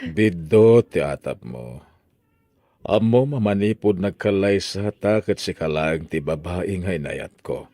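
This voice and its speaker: A man's voice: sits low, expressive, unhurried, dark tone, no room sound. A man playing a married boss in a serial drama, with an Ilocano Filipino accent. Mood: defensive, uneasy. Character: married male boss, kindly but evasive husband. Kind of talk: Ilocano drama series voice acting.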